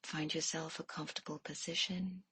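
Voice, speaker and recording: A woman leading a guided meditation in a soft, hushed way, leaving pauses between phrases. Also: low-pitched, little pitch movement, husky, no echo, low-quality recording.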